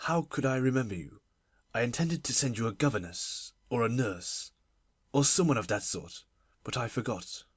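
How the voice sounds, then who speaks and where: A woman voicing a miserable, absent-minded middle-aged gentleman in an audiobook, husky, fretful and muttering. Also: rumbling low, lively intonation, normal speed, no echo, studio quality, pitched below the performer's own voice, full character voice. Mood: distracted, fretful.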